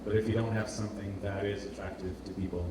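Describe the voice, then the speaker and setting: A man's voice: fairly low pitch, flat delivery, fast talker. A man from Portugal giving an informal presentation as a designer, speaking hesitantly and repeating words as he searches for phrasing. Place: indoor event space with heavy echo. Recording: roomy, distant, noisy live hall recording.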